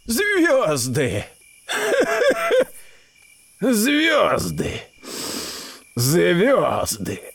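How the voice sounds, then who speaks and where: Creaky, cantankerous old uncle. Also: male voice, high voice, fairly bright tone, heavily acted. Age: older. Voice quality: raspy, creaky. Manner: slow, theatrical, exclamatory, swooping delivery. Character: stubborn, cantankerous old eccentric uncle who disbelieves everything. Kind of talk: audio drama acting. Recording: studio audio drama with music.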